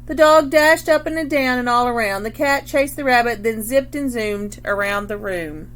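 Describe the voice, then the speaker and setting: A woman teacher reading a story aloud to children, deliberately and instructively, stopping to explain key words. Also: fairly high pitch, fairly bright tone.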